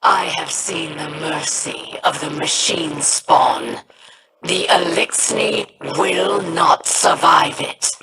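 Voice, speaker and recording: A proud alien warlord, scornful and menacing. He speaks very slowly, and loud noise covers the game recording. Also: medium pitch, creaky.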